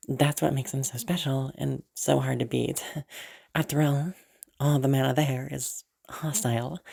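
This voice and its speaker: Woman as a husky, cocky, weary mage. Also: low-pitched, warm tone, heavily acted. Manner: casual, rambling, teasing asides. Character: confident, powerful, weary mage rescuer, casually boastful. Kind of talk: improvised-sounding audio roleplay, chatting to the listener.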